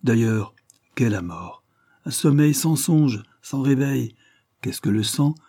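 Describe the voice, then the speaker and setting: A man's voice: mid-range voice, expressive, takes it slowly, warm tone, no echo, clean studio sound, voice pushed up. A man voicing a ferocious revolutionary official in an audiobook, ironic, contemptuous and declamatory. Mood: scornful oratory.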